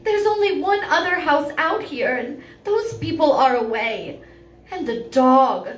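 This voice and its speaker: A woman's voice: high voice, big pitch swings, unhurried. A woman playing a frightened, haunted widow, fretful and melodramatic in 1940s radio drama style. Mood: anxious. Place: live stage performance in a venue. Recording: roomy, distant mic, background noise.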